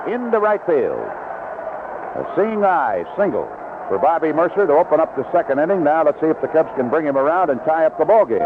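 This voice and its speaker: An American man calls a baseball game in the style of 1970s radio, with short, clipped phrases. His pitch is mid-range and swings in a lively way. The sound is narrow like an old AM set, with clear noise around him. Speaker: baseball play-by-play announcer. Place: outdoor ballpark broadcast booth. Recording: dull, noisy vintage AM radio broadcast.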